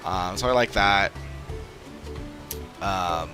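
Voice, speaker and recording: A man with a fairly low voice talks off the cuff as a game streamer. He rambles and thinks out loud in a casual way, with game music playing clearly behind him. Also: drawn-out words. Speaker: live streamer playing an online role-playing game. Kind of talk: casual, unscripted stream chat.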